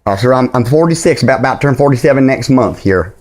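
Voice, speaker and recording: A man's voice: mid-range voice, expressive, fast, background noise, natural voice. Man acting a stammering, sheepish middle-aged caller. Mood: hesitant, apologetic. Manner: stammering, hesitant, repeats words, overly polite. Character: sheepish rambling middle-aged drinker, politely apologetic and confused. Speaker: prank caller. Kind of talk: improvised phone prank call.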